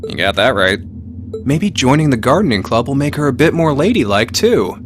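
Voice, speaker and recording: Lively, roguish mercenary played by a man. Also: medium pitch, moderate pace, lightly acted. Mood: fond, joking. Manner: casual, slangy, playful. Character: breezy, teasing adult male mercenary, roguish and sentimental. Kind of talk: video game voice acting. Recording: music behind the voice.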